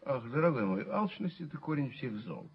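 An actor playing a fussy, conciliatory gentleman in a radio play, hesitant, polite and given to moralizing. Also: medium pitch, big pitch swings, a little fast. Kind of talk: theatrical radio play acting. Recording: muffled, noisy 1970s radio recording.

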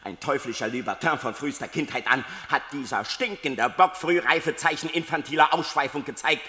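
An actor playing a venomous, fanatical preacher who denounces a sinner in theatrical, declamatory German radio play style. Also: male voice, medium pitch, sharp tone, faint music behind, close to an everyday voice. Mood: venomous denunciation. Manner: declamatory, accusing, drawn-out exclamations. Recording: echoey hall with long reverb.

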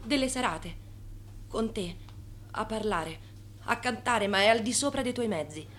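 An actress playing a swindler's proud, wistful wife in a radio drama, unimpressed by money. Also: female voice, fairly high pitch, lively intonation, unhurried, fairly bright tone.

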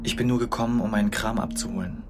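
An actor playing a young man who pleads in a halting, hesitant way with someone who won't answer, in a radio drama. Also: on the low side, fairly even intonation, background noise, lightly acted. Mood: pleading. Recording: radio play with loud music.

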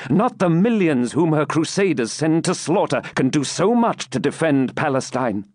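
A man's voice: on the high side, dry recording, studio quality. A man voicing a proud, fierce male knight templar who speaks in an impassioned, commanding way in a storybook reading.

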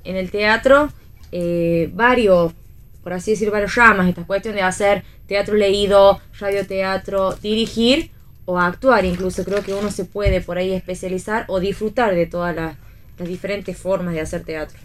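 Casting: Argentine woman, mid-pitched voice, actress-teacher talking through her ideas in a relaxed radio chat. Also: normal speed, a little hiss or hum. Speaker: guest, stage actress and drama teacher. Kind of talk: casual radio conversation.

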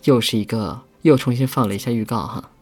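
A man's voice: medium pitch, fairly quick, a little mellow, soft background music. A man in casual livestream chat, easygoing, often repeating short phrases.

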